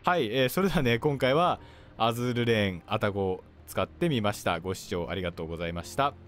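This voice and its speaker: A man commentating on gameplay as a video host, explaining politely in short, halting phrases. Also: lowish voice, lively intonation. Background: game music and artillery fire.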